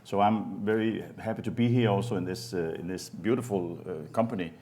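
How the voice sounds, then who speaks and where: Deep-voiced Danish man, stammering restarts. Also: a little fast, warm tone. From Denmark. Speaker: energy academy director. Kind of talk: panel self-introduction.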